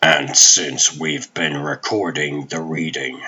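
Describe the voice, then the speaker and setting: A man's voice: a bass voice, fairly even intonation, takes it slowly, sharp tone, slight background noise, heavily acted. A man voicing a matter-of-fact scientist in a comic dub, explaining findings plainly. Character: very deep-voiced, matter-of-fact male scientist. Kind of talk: fan comic dub voice acting.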